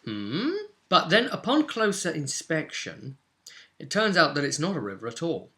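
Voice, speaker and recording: A man casually recapping a tabletop roleplay session as game master, with expressive storytelling and dramatic emphasis. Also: a little high, normal speed.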